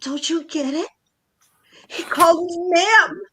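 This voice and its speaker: A woman's voice: extremely high pitch, slow pace, bright, forceful tone, exaggerated voice. A woman playing a needy, touchy wife who nags dramatically in a staged play reading about domestic life. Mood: upset, tearful. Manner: nagging, dramatic, aggrieved.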